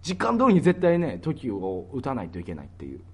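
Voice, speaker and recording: A man with a Kansai accent co-hosting an amateur comedy radio show, bantering casually with animated straight-man reactions. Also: mid-range voice, brisk, husky. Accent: Kansai Japanese. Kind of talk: casual comic banter, brainstorming.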